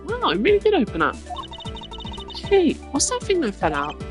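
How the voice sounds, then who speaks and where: A performer voicing a spirited, curious teenage girl sidekick with an excitable, casual way of talking in a video game read-through. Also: high-pitched, normal speed, over music, pitched above the performer's own voice. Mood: curious, excited.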